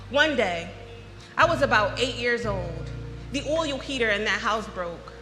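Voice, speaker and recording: An American woman performing a dramatic spoken-word monologue, with emphatic, poetic phrasing and deliberate pauses. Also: on the high side, pushed and bright. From United States (Philadelphia). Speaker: storyteller performing an autobiographical monologue. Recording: echoey hall with long reverb.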